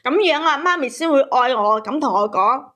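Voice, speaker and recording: High voiced woman phoning in w her personal story, plaintive n kinda aggrieved. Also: lively intonation, normal speed, a bit bright. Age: 30s. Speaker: caller.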